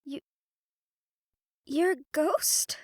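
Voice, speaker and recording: A woman's voice: high voice, drawn-out words, no echo, studio quality. A woman playing an anxious, bewildered young newcomer to the supernatural, hesitant and earnest, in an audio roleplay drama. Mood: confused.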